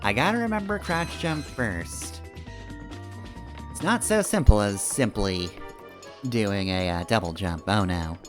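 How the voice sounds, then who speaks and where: A man's voice: fairly low pitch, animated, measured pace. A man giving casual, rambling live commentary while he plays a video game, thinking aloud as he goes. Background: video game music.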